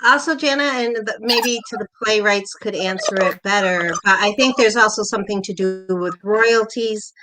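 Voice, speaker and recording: A woman's voice: medium pitch, moderate pace, few pauses, noisy background. A woman talking casually as a panelist in an online theater discussion, putting her points and questions conversationally. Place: online video call.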